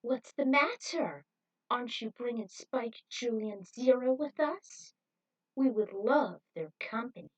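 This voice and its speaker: A woman's voice: on the high side, animated, a little slow, recorded from a distance, dull audio. A woman voicing an easygoing, brainwashed bounty hunter in a story reading, carefree and placid. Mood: coaxing.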